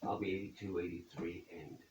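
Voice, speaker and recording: A man's voice: deep voice, fairly even intonation, fairly quick, darkish tone. A man in his seventies answering interview questions about his business in a folksy way, often ending points with "okay?". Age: 70s. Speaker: accountant, business owner. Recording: roomy echo, distant mic, faint hiss.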